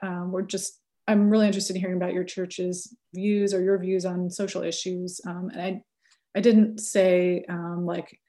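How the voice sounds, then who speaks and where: A woman's voice: on the low side, level tone, normal speed, warm tone. A woman in her thirties, a researcher and teacher, talking casually in an interview, full of fillers and self-corrections with frequent laughs. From California.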